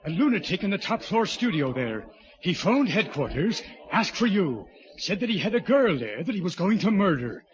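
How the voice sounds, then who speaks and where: An actor playing an exasperated, emphatic police officer in charge, in 1940s radio drama style. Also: male voice, high-pitched, sing-song, normal speed. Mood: blustery, exasperated. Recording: dull vintage 1940s radio recording.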